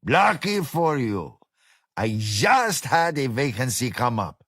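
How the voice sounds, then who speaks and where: A man's voice: mid-range voice, sing-song, slow pace, husky, dry recording, studio quality, exaggerated voice. A man voicing a burly, mocking male restaurant owner who speaks English. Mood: gruff mocking. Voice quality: loud, brash, laughing.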